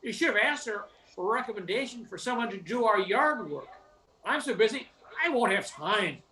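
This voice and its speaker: An older performer acts out a mild, busy husband in an old-time radio comedy. The voice is bright and animated. It comes from some distance off the microphone. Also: mid-range voice, moderate pace. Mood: mildly disappointed.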